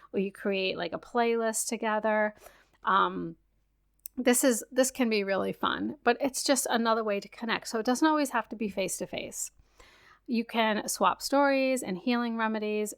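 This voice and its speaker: A woman's voice: mid-range voice, creaky. A woman hosting a self-care podcast, talking casually with frequent fillers and an encouraging tone, her voice a bit worn after a virus. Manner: chatty, many "like" fillers, encouraging. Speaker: podcast host. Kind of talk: casual solo podcast talk.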